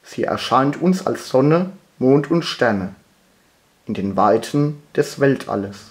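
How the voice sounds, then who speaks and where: Slow, solemn man reciting poetry. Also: medium pitch, lively intonation. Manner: measured, deliberate phrasing with pauses.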